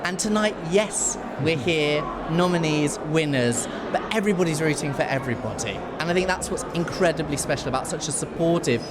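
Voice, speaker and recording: A man's voice: a little high, brisk, few pauses. Effusive guy chatting in an interview, emphatic, noisy event around him. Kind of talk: casual interview. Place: event venue. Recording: noisy venue background.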